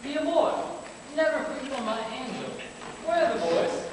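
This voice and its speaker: A man's voice: extremely high pitch, sing-song, moderate pace. A young man acting an affectionate, protective father in an amateur stage play. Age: young adult. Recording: echoey hall from far off, noisy.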